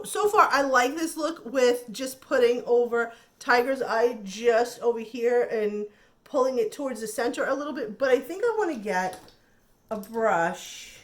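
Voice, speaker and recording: A woman chatting casually through a makeup tutorial, thinking aloud and admiring the colors, her voice a little nasal from a cold. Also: on the high side. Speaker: beauty vlogger.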